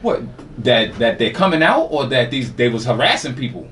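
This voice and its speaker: A man's voice: mid-range voice, sing-song, normal speed, a bit bright, far from the mic. A man co-hosting a casual podcast chat, laid-back, slangy and joking. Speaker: co-host. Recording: off-mic with faint room noise.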